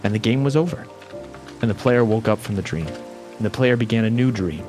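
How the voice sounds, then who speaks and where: A man's voice: lowish voice, darkish tone. Guy doing a dramatic poem reading, solemn storyteller delivery, music playing behind him. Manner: theatrical, solemn, with long deliberate pauses. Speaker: dramatic reader. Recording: voice laid over background music.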